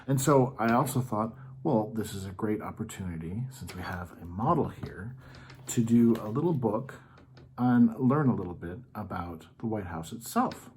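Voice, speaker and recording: A man's voice: on the low side, expressive, normal speed, darkish tone, a little hiss or hum. A man hosting a children's story time, patiently explaining things in simple terms in an informal, educational read-aloud style.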